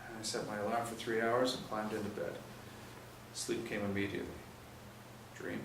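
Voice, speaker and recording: A man's voice: on the low side, flat delivery, moderate pace, gravelly. A man reading first-person crime fiction aloud in a hard-boiled, dramatic way with emphatic swings. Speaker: reader of a novel chapter. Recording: roomy, distant mic, faint noise.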